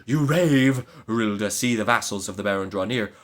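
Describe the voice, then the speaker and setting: A man voicing a scheming knight in a gothic tale, speaking in stern, measured declamations. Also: on the low side, lightly acted. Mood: urgent. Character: scheming male knight, smooth and commanding. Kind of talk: dramatic gothic reading.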